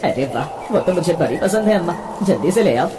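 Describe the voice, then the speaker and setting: A woman's voice: on the low side, expressive, fairly quick, voice pushed down, lightly acted. A woman voicing a poor, grateful dwarf man, respectful and eager, in a cartoon tale. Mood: hungry, eager. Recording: reverberant hall-like sound.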